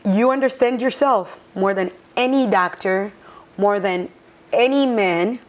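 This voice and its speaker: A woman's voice: mid-range voice, a little slow, darkish tone. An educator woman talking as a podcast guest, earnest and encouraging in casual conversation. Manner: earnest, encouraging, laughs often. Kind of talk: casual interview chat.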